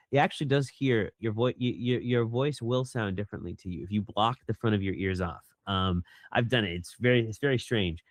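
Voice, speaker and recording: Animated man, dry close voice. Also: no room sound. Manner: animated, jumps into a higher voice when quoting people. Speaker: voice actor guest. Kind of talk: casual interview chat.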